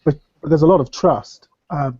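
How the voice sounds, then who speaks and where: A man's voice: a little high, moderate pace, darkish tone, no echo. Man with a nasal cold voice. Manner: rambling, hesitant, many fillers. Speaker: panel guest. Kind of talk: casual video call discussion. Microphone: computer microphone on video call. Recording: dull dry video call audio.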